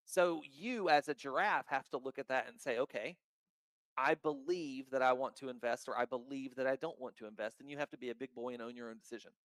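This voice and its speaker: A loud, outspoken man talks bluntly in a casual chat and swears freely. His voice sits in an ordinary middle pitch. The sound is dry and close, with no room echo. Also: brisk. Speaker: crypto token founder.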